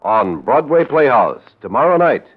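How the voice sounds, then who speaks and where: A man's voice: mid-range voice, big pitch swings, moderate pace, husky. An American man announcing from a script in the style of mid-century network radio. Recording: muffled old radio broadcast recording.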